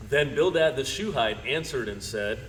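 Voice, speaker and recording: Distant mid-pitched man narrating scripture drama. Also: expressive, moderate pace, a bit bright. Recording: distant from microphone, faint noise.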